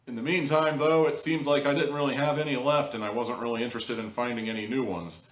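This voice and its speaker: A man's voice: medium pitch, fairly even intonation, quick pace. A man giving a casual, humorous review to viewers, rambling along with wry asides and mock-dramatic emphasis. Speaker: audio drama reviewer, show host. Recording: roomy echo, distant mic.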